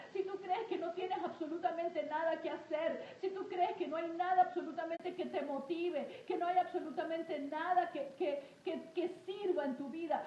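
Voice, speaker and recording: Female prayer leader, high-pitched with a soft-edged tone, fervent and insistent delivery, echoey room with faint noise. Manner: emphatic and repetitive, with frequent invocations. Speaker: prayer leader preaching to an online audience. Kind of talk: fervent intercessory prayer and exhortation. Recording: roomy echo, faint background noise.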